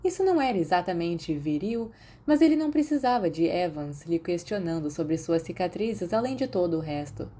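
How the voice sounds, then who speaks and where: A woman's voice: fairly low pitch, lively intonation, moderate pace, mellow, breathy edge. A woman with a Brazilian Portuguese accent reading scripted fiction as an audiobook narrator.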